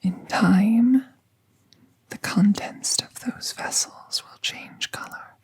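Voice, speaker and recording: A woman playing a kind, soothing magical veterinarian in a whispered ASMR roleplay, gentle and measured as she reassures a patient. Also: medium pitch, exaggerated voice. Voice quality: soft, breathy whisper.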